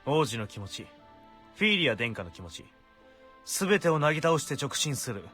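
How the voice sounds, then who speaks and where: An actor playing a stern, sardonic court official in a visual novel, curt and authoritative. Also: male voice, mid-range voice, big pitch swings, racing, fairly bright tone, faint music behind. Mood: curt, scornful. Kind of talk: Japanese visual novel voice acting.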